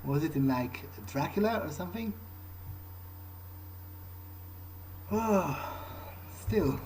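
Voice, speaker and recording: A man role-playing a wary, pragmatic vampire in a video game, thinking aloud in a halting, understated way. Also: on the high side, expressive, darkish tone, slight background noise, low-quality recording. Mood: curious, puzzled. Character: male vampire musing to himself, wary, pragmatic. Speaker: gamer playing in character. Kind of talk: improvised in-character game monologue.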